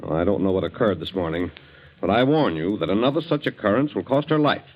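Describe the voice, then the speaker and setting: An actor playing a grave, frank family doctor with a measured, authoritative manner in a 1940s radio drama. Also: male voice, fairly low pitch, animated. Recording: dull 1940s radio broadcast recording.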